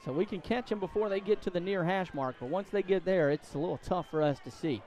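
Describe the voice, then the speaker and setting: A man doing excitable live play-by-play commentary of a high school football game, outdoors at the stadium. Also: quick pace. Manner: excitable, voice climbs to shouts on big plays. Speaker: play-by-play sports announcer.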